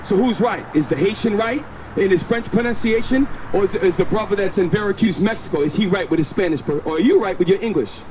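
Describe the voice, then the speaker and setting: A man with a high-pitched voice preaches on a city street and insists on his points. Traffic roars clearly behind him. He keeps directing a companion to read verses aloud. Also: fast talker. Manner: insistent, instructs a reader to read. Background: passing vehicles.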